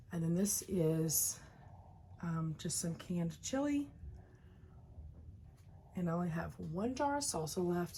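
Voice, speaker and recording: A woman with a Southern US accent chatting casually and informally to viewers as a home video host, her voice slightly hoarse and recovering. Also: on the low side, warm tone, slight background noise. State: slightly hoarse after a cough and lost voice.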